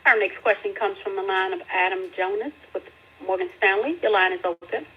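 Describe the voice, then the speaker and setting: A woman's voice: mid-range voice, brisk. A woman working as a conference call operator, making formal scripted announcements. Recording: dull phone-like call audio.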